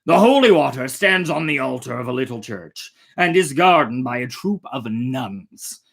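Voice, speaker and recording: A storyteller as a sage talking horse, lively inflection. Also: mid-range voice, normal speed, vocal fry. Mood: solemn, advising. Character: magical talking horse, sage loyal adviser.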